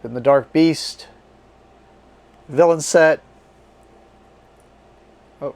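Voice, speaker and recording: A man hosting a casual card game unboxing, his voice strained and tiring as he reads card names aloud in short halting bursts. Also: fairly high pitch, darkish tone. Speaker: board game channel host.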